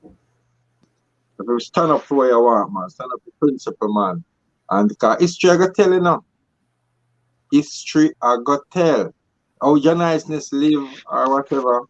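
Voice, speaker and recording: A man's voice: medium pitch, expressive, a little slow, pauses often, no room sound, lo-fi sound. A man with a Jamaican accent reasoning and telling stories casually, emphatic and repeating phrases to drive them home.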